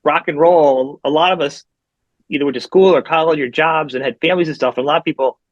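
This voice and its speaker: Male podcast host, rapid, enthusiastic, muffled lo-fi sound. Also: a little high, dry recording. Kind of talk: interview conversation. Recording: dry, dull lo-fi remote audio.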